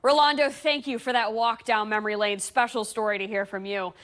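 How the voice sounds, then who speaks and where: Higher-pitched woman presenting sports TV. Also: fairly even intonation, a little fast. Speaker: sports show host. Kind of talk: scripted TV presenting. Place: indoor TV studio.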